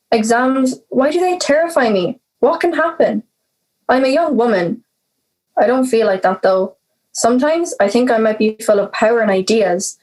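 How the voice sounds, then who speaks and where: A woman's voice: on the high side, a little mellow, low-quality recording. A young woman playing an anxious, reluctant, brooding teenage girl in an audio drama. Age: teenager or young adult. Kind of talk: audio drama monologue and dialogue.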